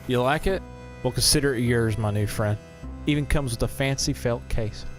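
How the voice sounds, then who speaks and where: A man's voice: mid-range voice, normal speed, lightly acted. An actor playing a folksy, genial carnival owner in an audio drama, talking in a salesman's patter. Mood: pleased, generous. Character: folksy, smooth-talking carnival owner, a much-married man. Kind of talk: scripted audio drama acting. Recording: music behind the voice.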